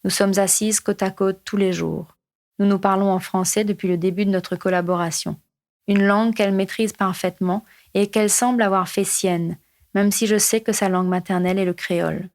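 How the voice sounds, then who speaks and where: A woman from Mauritius, hosting a podcast in measured, reflective scripted narration. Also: mid-range voice, steady tone, a little mellow. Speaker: podcast host.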